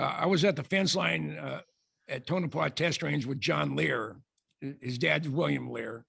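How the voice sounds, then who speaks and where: An older man speaks with a deeply gravelly, raspy voice. He tells rambling anecdotes, stopping and restarting often. The sound is dry and close, with a slightly high pitch for a man. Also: fairly bright tone, dry recording. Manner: halting, many restarts and fillers. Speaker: guest speaker.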